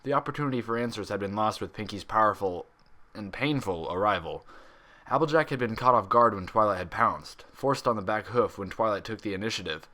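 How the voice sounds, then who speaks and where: A man reading a story aloud as an expressive narrator. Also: lowish voice, fairly quick. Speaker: fan fiction reader.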